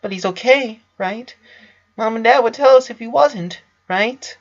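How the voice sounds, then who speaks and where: A man's voice: extremely high pitch, a little slow, pitched above the performer's own voice. A man voicing a naive, ditzy teenage girl with a hesitant, questioning manner, in a story reading. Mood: worried, confused. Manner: hesitant, filler "like", pleading questions. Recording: dull lo-fi sound.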